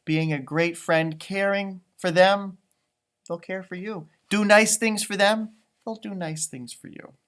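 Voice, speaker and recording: A man reading a storybook aloud to young children, pausing for musing, simply worded asides in an expressive manner. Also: sits high. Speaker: storybook reader, elementary school teacher.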